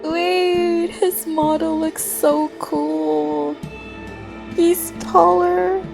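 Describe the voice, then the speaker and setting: An excitable, giggly woman livestreamer casually reacting to a video game, with game music underneath. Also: extremely high pitch, extremely slow, gravelly.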